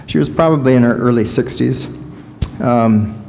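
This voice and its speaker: A middle-aged man with an American Midwestern accent, preaching a story-led sermon in deliberate, halting phrases. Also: mid-range voice, vocal fry, warm tone, a little hiss or hum. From Wisconsin, United States. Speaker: Lutheran pastor. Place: large church sanctuary, indoors, before a congregation. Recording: reverberant church hall.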